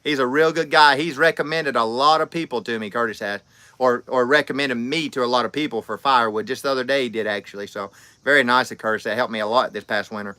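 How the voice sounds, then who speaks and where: A man's voice: medium pitch, quick pace, bright, forceful tone, smooth, no room sound. A man, chatting casually on a livestream and reading out comments, rambling and friendly with lots of fillers and restarts. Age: 30s. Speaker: livestream host.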